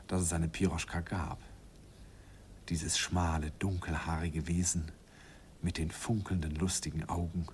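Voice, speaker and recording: An actor narrating a radio play as a grown man fondly recalling his youth, softly and wistfully, sometimes whispering. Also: low voice, a little hiss or hum.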